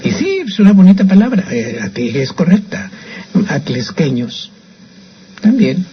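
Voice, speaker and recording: A man's voice: high voice, sing-song, unhurried, slight background noise. An older man with a Mexican accent, chatting opinionatedly as a radio host about language and restarting his phrases often. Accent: Mexican Spanish. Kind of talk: casual radio commentary. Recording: slightly muffled radio broadcast.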